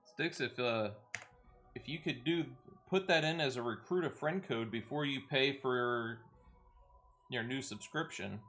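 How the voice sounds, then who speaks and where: A man's voice: mid-range voice, measured pace, fairly bright tone, a little hiss or hum. A man hosting a game livestream, chatting casually in a helpful, hesitant, explanatory way. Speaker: game streamer, host.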